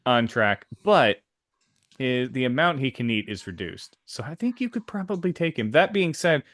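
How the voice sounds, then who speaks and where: A man's voice: medium pitch, big pitch swings, moderate pace, fairly bright tone, no echo. A man co-hosting a podcast in casual chat, talking with enthusiastic emphasis. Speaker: podcast co-host.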